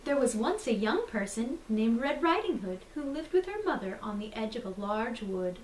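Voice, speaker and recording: Animated woman storyteller, higher-pitched voice. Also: moderate pace. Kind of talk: theatrical bedtime story reading. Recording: roomy, distant mic, faint noise.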